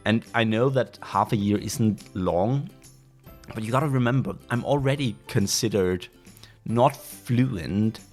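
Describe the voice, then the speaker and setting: A young Danish man with a low voice talks casually on his own podcast. He often stops mid-sentence and restarts while he hunts for the right word. Soft music plays faintly underneath. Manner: pauses often to search for words, many restarts. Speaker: podcast host. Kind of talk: casual solo monologue.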